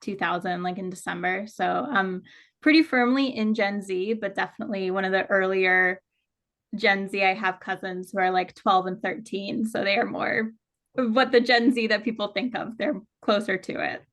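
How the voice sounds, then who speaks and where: A woman in her early twenties talks casually as a panelist. Her voice has an average pitch for a young woman. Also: fairly even intonation, moderate pace, fairly bright tone. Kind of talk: casual self-introduction.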